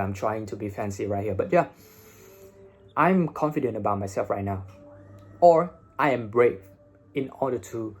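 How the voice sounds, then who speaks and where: A young man from Vietnam with a Vietnamese accent and a slightly husky voice, giving blunt, informal English-learning advice and swearing freely, recorded on a phone. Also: fairly low pitch, a little mellow. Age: young adult. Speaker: English teacher and video host. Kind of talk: casual advice talk to camera. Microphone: phone, no separate microphone.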